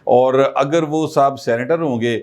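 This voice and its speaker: Man, political commentary, chatty, lots of um pauses, mid pitch. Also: a little mellow. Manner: conversational, many filler pauses.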